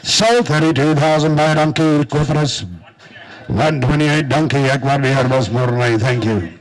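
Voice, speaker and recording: A man's voice: medium pitch, steady tone, a little slow, low-quality recording. A man with a South African Afrikaans accent working as a livestock auctioneer, calling in a repetitive, rhythmic auction style. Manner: repetitive rhythmic bid calling, switching between two languages. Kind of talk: auction chant and commentary.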